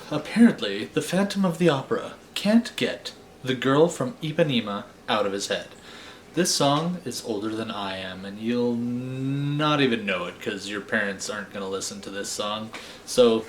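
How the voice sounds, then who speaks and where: A man's voice: mid-range voice, expressive, moderate pace, a little hiss or hum. A man hosting a storybook read-aloud in a wry, theatrical way, with casual asides. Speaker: host reading stories aloud.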